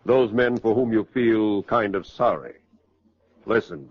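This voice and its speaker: A man's voice: on the low side, measured pace, no room sound. A man with an American accent, narrating a 1940s radio crime drama in a grave, emphatic, melodramatic manner. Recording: muffled vintage 1940s radio broadcast.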